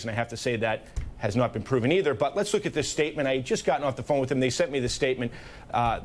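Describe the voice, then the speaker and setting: Male political analyst explaining live on news. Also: mid-range voice.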